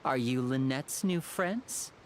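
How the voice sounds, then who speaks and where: A suave young magician. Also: male voice, medium pitch, animated, slow pace, a little hiss or hum. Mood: courteous.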